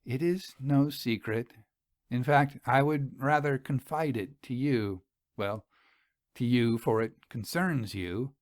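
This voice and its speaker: A man playing a lovestruck gentleman suitor in a story, speaking formally with solemn fervour. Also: mid-range voice, slow pace, dry recording, clean studio sound, natural voice. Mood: solemn, hesitant. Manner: solemn, ornate, fervent.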